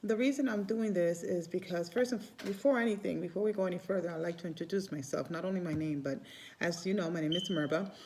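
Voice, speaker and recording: A woman's voice: lowish voice, fast, darkish tone, a little hiss or hum. A middle-aged woman from Cuba who is a Santeria priestess, talking in a casual, rambling way on her vlog and often restarting her phrases. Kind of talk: casual spiritual vlog talk.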